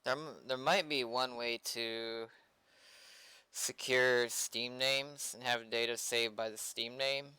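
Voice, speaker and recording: Bright, crisp-voiced man chatting on stream. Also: medium pitch, steady tone, takes it slowly, dry recording, rough recording. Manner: rambling, thinking aloud. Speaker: game server developer and streamer. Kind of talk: casual gaming livestream chat.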